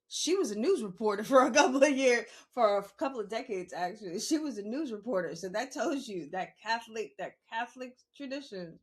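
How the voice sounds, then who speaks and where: A woman, talking candidly and emphatically in a conversational monologue, repeating phrases for emphasis. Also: mid-range voice. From New York City, born in the Bronx and raised in Queens. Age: 50s. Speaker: podcast host.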